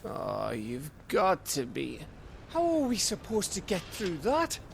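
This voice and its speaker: An actor playing a rough, foul-mouthed, wary fantasy adventurer in a video game cutscene, with an expressive, colloquial delivery. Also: male voice, high voice, slow pace, a little hiss or hum, heavily acted. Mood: exasperated, urging calm.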